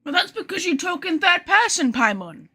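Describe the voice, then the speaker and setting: A woman game streamer giving casual, joking live commentary, sarcastic and quick to swear. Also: very high voice, big pitch swings, sharp tone, soft background music.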